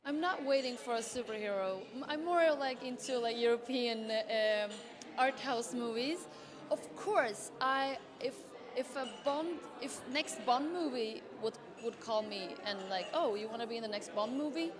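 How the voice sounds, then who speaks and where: A woman's voice: a little high, moderate pace, over music. A woman from Sweden, an actress, talking in a playful, light way. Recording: crowd chatter behind.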